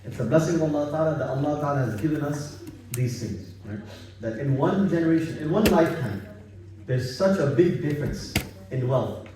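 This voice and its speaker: A man from Bangladesh giving a relaxed, informal religious talk at a home gathering, repeating himself and using many religious phrases. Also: fairly high pitch, brisk, noisy background. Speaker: halaqah speaker. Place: home living room gathering. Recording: echoey room, far from mic.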